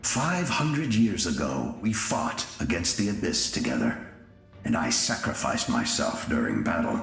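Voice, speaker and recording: A proud, ruthless dead knight in a video game, very deep and slow. He is grave and theatrical, with echo around his words. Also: wildly swooping pitch, vocal fry, fairly bright tone, heavily acted. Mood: solemn pride. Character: ruthless, proud, long-dead male knight commander's deputy. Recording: echoey, music behind.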